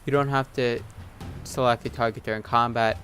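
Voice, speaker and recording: A man's voice: medium pitch, steady tone, normal speed, fairly bright tone. Casual male gamer over game music. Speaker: gaming video host. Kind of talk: casual gameplay commentary, reading on-screen text aloud.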